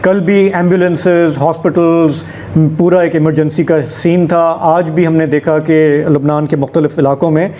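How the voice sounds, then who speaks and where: Male news presenter, slightly high and mellow, explaining events at a measured, hesitant pace in discussion. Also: level tone.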